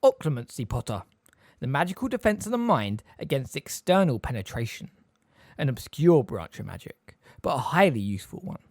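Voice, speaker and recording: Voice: medium pitch, very animated, a little mellow, no room sound, clean studio sound, pitched above the performer's own voice. A narrator doing a sneering, silky male schoolmaster. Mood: smooth, cold. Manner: sarcastic, smooth, measured, stressing words delicately. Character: sneering, silky, waspish middle-aged male teacher.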